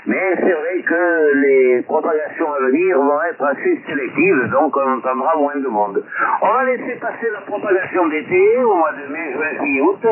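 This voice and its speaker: A man chatting as an amateur radio operator, jovial and wordy with flowery phrasing, over a radio transmission. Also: a little high. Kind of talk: casual radio sign-off chat. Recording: tinny narrow-band radio link, loud babble of interference.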